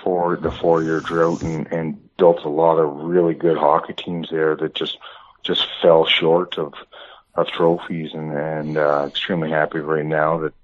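A man's voice: a bass voice, little pitch movement, measured pace. A man, a hockey coach, being interviewed over the phone, talking in long rambling runs with many restarts. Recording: tinny phone line.

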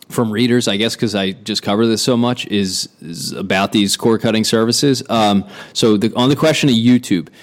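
A man hosting a casual sports podcast, tired from little sleep, with a deep morning voice and a chatty, loose way of talking. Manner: chatty, loose, many fillers. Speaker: podcast host.

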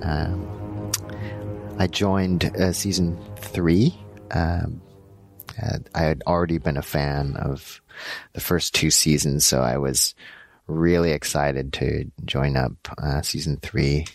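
Low-voiced man with halting answers. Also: steady tone, creaky, dry recording, faint music behind. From Los Angeles, United States. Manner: halting, many ums and uhs. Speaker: animation director. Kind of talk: interview answers.

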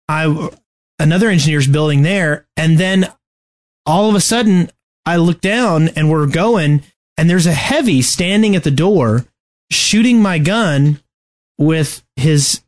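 A man co-hosting a podcast in casual gaming chat, speaking in a halting, stammering way. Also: on the high side. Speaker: podcast co-host.

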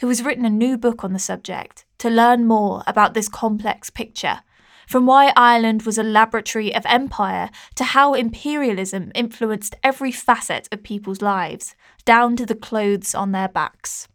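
A woman podcast host who reads a scripted intro and then asks interview questions in a clear, measured way. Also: fairly high pitch, fairly bright tone.

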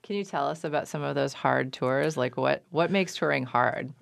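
Woman host with a gravelly edge. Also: very deep voice, normal speed, no room sound. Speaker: radio show host. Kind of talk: hosting an interview show. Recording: dry studio with faint music.

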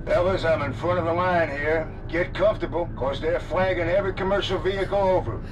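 An actor playing a brash, griping trucker on the radio in an audio drama, folksy and colloquial. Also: male voice, on the high side, fairly even intonation, brisk, lo-fi sound, close to an everyday voice. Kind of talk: audio fiction acting. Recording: distant, noisy CB radio chatter.

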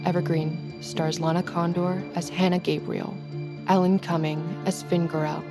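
A woman with a fairly low voice reads scripted credits as an announcer. Her delivery is measured, and music plays clearly behind her. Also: flat delivery.

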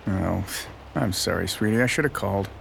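A man's voice: deep voice, normal speed, gravelly, slight background noise. A man playing an affectionate, apologetic husband in an audio horror drama. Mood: apologetic, grateful. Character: affectionate, apologetic husband home late from work.

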